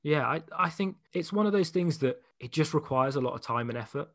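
A man, chatting as an interview guest, quick to laugh and full of anecdotes. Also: a little high, lively intonation, a bit bright, dry recording, clean studio sound. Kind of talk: casual chat.

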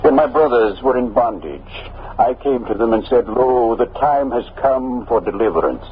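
An actor playing a gentle, fervent old hermit preacher, speaking in measured biblical oratory in a 1950s radio drama. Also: male voice, medium pitch, expressive, natural voice. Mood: fervent, prophetic. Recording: dull, noisy old radio recording.

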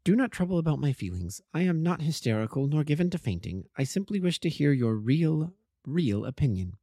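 Anxious, pleading Victorian wife read by a man, lifted high, swinging pitch, dry close sound. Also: very animated, brisk, mellow, no room sound.

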